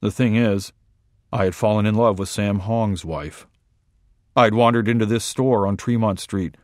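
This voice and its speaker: A man reading a short story aloud in a wry, deadpan first-person voice. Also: lowish voice, no room sound, clean studio sound. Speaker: reader.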